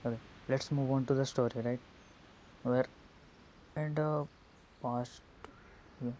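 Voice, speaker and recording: Dark, murky-voiced man commenting on gameplay. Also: steady tone, measured pace. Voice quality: murky, muffled. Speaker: gaming streamer. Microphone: earphone mic on a phone. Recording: murky earphone mic, noise, rumble.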